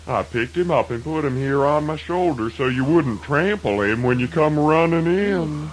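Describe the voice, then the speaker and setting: A man's voice: on the high side, lively intonation, moderate pace, natural voice, rough recording. A man voicing a sly, easygoing trickster rabbit in a children's radio folk tale, teasing in a folksy Southern US drawl, with old-recording hiss. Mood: mock sorry.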